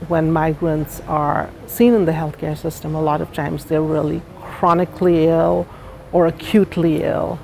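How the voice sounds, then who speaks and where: A woman's voice: deep voice, level tone, normal speed, gravelly, darkish tone, a little hiss or hum, rough recording. A woman, a health professional, speaking thoughtfully in an interview.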